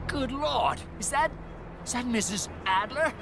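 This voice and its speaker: An actor playing an eccentric, excitable gentleman balloon pilot in a video game, with flowery, courteous, exclamatory speech. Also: extremely high pitch, unhurried, vocal fry, loud music behind the voice, full character voice. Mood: alarmed, flustered.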